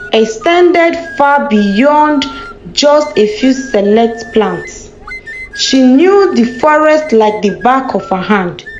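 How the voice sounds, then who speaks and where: A woman storyteller reading a scripted folktale in a measured, careful way, in a dull, echoing lo-fi recording. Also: on the high side, a little mellow. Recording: dull lo-fi sound, roomy echo. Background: background music.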